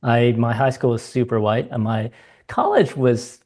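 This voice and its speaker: A woman's voice: rumbling low, lively intonation, moderate pace, warm tone. A woman from Iowa with an American accent, hosting a podcast in a casual interviewing style. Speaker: podcast host.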